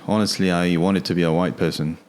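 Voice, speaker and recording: A man, a guest on a podcast, speaking softly in short replies. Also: low-pitched, fairly even intonation, fast talker. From Korea. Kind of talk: casual interview chat.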